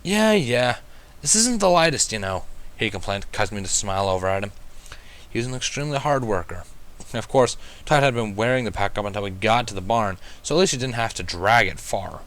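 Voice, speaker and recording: A man's voice: fairly low pitch, fast talker, a bit bright. A man narrating a first-person fan fiction story as a reader.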